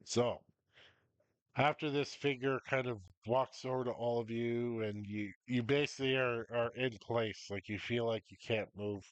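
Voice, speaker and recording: A man's voice: medium pitch, fairly even intonation, normal speed, no echo. A man with a stuffy nose and a cough, rambling as the game master of a tabletop game, full of ums and uhs.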